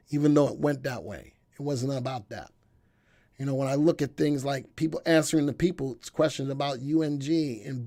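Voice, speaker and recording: Hoarse gravelly man hosting a live stream. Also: medium pitch, a little mellow, no room sound, studio quality. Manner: folksy, rambling, thinks aloud. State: losing his voice. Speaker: market analysis show host. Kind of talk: live-stream commentary reading viewer chat.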